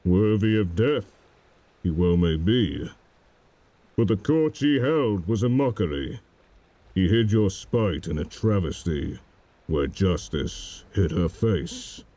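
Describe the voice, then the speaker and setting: A man voicing a grim, defiant Puritan adventurer in a verse story, dark, sombre and deliberate. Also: low-pitched, animated, dry recording, full character voice. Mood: scornful.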